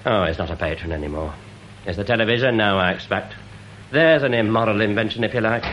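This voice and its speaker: Casting: man to play sour, censorious older English gossip for radio play, lower pitch, rapid patter, slightly noisy recording. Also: fairly bright tone, natural voice. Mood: scandalized. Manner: censorious, gloomily relishing scandal. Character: prudish, gossipy older male former cinema manager. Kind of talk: British radio drama acting.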